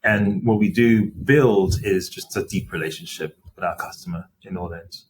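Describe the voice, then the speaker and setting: A middle-aged man, a company finance chief, answering interview questions in a measured, explanatory way with frequent fillers. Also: low-pitched, low-quality recording. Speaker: company CFO as podcast guest. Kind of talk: interview conversation.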